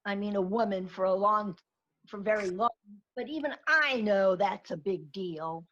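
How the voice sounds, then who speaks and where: A woman's voice: mid-range voice, normal speed, fairly bright tone, close to an everyday voice. A woman playing a timid, apologetic, sweet newcomer in a comedy table reading, hesitant and concerned, over a video call. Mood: meek. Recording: dull online video-call audio.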